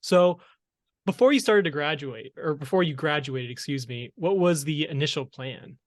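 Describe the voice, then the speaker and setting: A man's voice: on the high side, moderate pace, no room sound, studio quality. A man hosting a podcast, reading an earnest introduction and then politely asking open interview questions. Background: music under the voice.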